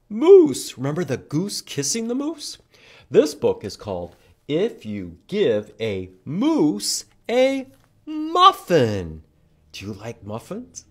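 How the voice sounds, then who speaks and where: A man hosting a children's storytime, reading aloud and talking in simple, deliberate phrases for young listeners. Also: mid-range voice, fairly bright tone. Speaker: library storytime host leading songs and reading aloud.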